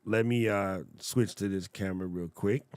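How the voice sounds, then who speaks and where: A man hosting a casual livestream, demonstrating gear in a playful, jokey way and chatting with viewers in a naturally loud voice, close on a studio mic. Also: on the low side, fairly even intonation, normal speed, no room sound. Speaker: tech reviewer livestream host. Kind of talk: casual livestream product demo. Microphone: studio broadcast mic up close.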